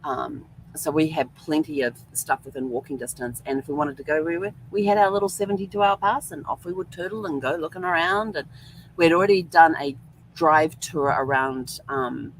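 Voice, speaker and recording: A woman's voice: sits low, normal speed, a little hiss or hum, rough recording. A woman hosting a vlog, telling a rambling, chatty travel story full of ums. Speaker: host. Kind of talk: casual travel vlog monologue.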